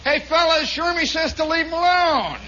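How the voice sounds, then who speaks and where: Man acting out young American army lout, very high strained voice, loud mocking holler, muffled noisy recording. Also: brisk, exaggerated voice. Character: jeering young male barracks soldiers taunting a comrade. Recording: muffled recording with clear background noise.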